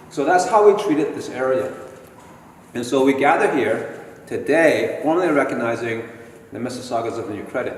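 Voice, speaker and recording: A man giving an informal lecture to a gathering, talking slowly and deliberately and asking the audience questions. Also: medium pitch. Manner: slow, deliberate, conversational. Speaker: guest speaker at a gala. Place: large hall. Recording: echoey hall from far off, faint noise.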